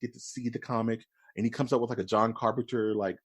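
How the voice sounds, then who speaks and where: A man co-hosting a casual podcast, animated and joking, often calling his co-host "dude". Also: medium pitch, fast, slightly rough.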